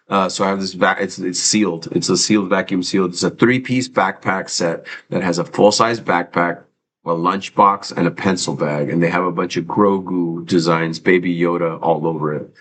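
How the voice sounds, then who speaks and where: Streamer host, low male voice, rambling and profane, light background noise. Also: moderate pace, fairly bright tone. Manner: rambling, casual and profane, many fillers and restarts. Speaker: livestream host. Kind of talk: casual livestream chat.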